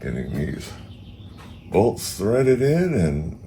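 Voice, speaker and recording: A man's voice: fairly low pitch, wildly swooping pitch, extremely slow, noisy background. A man with a stuffed-up nose from allergies, hosting a casual how-to vlog in his garage and thinking aloud with fillers. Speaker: car channel host. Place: home garage beside a car.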